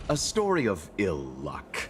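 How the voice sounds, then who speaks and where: Low-voiced, stoic traveling merchant. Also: male voice, huge pitch swings. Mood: composed, rueful. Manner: formal, measured, composed. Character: courteous, stoic male traveling merchant swordsman and healer. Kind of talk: video game voice acting. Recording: music behind the voice.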